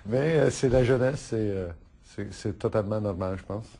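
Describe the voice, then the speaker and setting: A middle-aged man whose first language is Italian, answering interview questions in a halting way with many fillers and restarts, laughing often. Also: lowish voice, dark tone, slightly rough. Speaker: politician interviewee.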